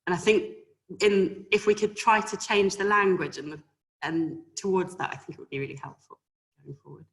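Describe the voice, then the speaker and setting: Woman with a lowish voice, runs a shop, talking about her own experience on a panel. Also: steady tone, moderate pace, fairly bright tone. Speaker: food shop owner, panel speaker. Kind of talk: personal account in a panel discussion.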